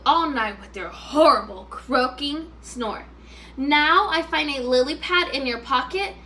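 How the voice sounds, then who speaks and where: A woman's voice: high-pitched, pushed and bright, recorded from a distance, a little hiss or hum, heavily acted. A woman voicing a nagging, exasperated young princess, scolding and complaining, in a children's storybook read-aloud.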